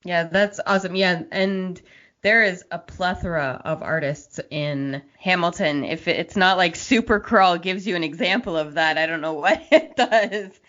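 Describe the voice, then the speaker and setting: A woman's voice: fairly low pitch, moderate pace, a bit bright. A woman hosting a casual interview podcast. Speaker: podcast host. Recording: dull, lo-fi sound.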